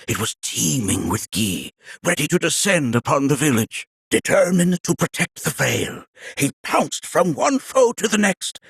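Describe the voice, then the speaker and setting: An actor playing a wise, kindly, very old village elder in a video game, raspy and creaky, speaking in formal, archaic, theatrical phrases like a storyteller. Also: male voice, on the high side, very animated, a bit bright, no room sound, full character voice. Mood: grave, storytelling. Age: older. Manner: formal, archaic, measured storytelling with dramatic flourishes.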